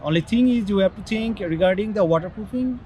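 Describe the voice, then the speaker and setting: A man from West Bengal, an architect talking haltingly with many fillers in an outdoor interview. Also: sits high, darkish tone, a little hiss or hum. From West Bengal, India.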